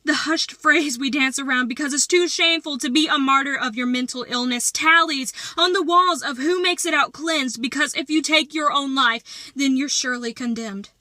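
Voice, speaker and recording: High, bright-voiced woman reciting slam poetry. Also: moderate pace, hardly any pauses, dry recording, clean studio sound. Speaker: slam poet.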